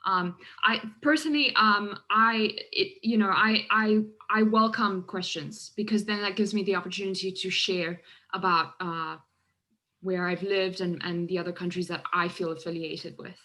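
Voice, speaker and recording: A woman speaking as a professor on a panel over a video call, open and welcoming with halting restarts. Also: mid-range voice, fairly even intonation, pushed and bright.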